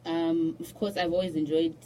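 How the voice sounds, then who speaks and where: A young woman from Zimbabwe who is a musician, chatting as a guest in a radio studio and telling stories with restarts and soft laughs. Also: low-pitched, flat delivery, moderate pace, a little hiss or hum, low-quality recording. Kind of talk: casual radio interview chat.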